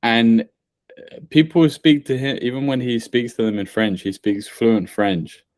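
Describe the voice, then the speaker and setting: A man hosting a podcast who talks in a measured way, building his points through examples with pauses and restarts. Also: medium pitch, steady tone, darkish tone, no room sound, clean studio sound. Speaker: podcast host. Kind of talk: casual podcast chat.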